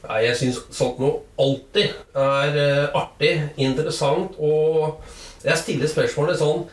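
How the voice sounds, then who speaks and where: A man's voice: fairly high pitch, fairly even intonation, a little slow, reverberant. A man co-hosting a casual chat, curious and full of questions. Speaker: podcast co-host.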